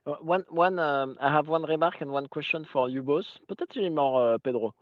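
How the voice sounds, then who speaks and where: A man's voice: a little high, brisk, dry recording. A man taking part in a webinar panel who often stops and restarts his sentences. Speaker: webinar participant. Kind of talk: panel discussion. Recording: tinny phone-like audio.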